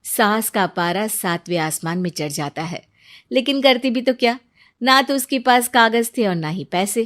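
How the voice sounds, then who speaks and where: A woman's voice: a little high, lively intonation, normal speed, fairly bright tone, dry recording, studio quality. A woman narrating a scripted moral story as a storyteller.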